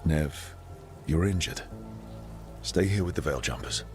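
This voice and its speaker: A deep-voiced adventurer hero from a fantasy game. He is earnest and protective, measured in delivery, with music beneath. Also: a little mellow. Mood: serious, concerned. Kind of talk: dramatic game dialogue.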